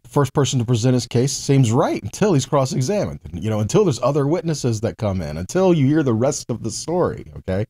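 A man's voice: mid-range voice, big pitch swings, a little fast, hardly any pauses, warm tone, husky. An American man in his forties giving a conversational Bible teaching, explaining step by step and checking in with short tags. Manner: explanatory, with frequent check-in tags like okay and right.

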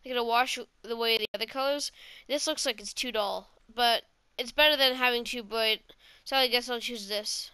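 A woman's voice: on the high side, normal speed, bright, forceful tone, clean tone. A woman giving casual, rambling let's play commentary as a gaming host, swearing often.

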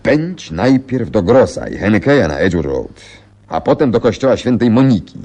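An actor playing a shrewd, self-assured gentleman detective, precise and theatrical, in a radio play. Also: on the low side, big pitch swings, normal speed. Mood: eager storytelling. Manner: precise, inquisitive, theatrical storytelling.

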